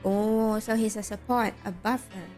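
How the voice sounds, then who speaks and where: A woman's voice: medium pitch, fairly even intonation, normal speed, a little mellow, soft background music. A young woman with a Malaysian accent, streaming a game and chatting casually with her viewers while reading game text aloud.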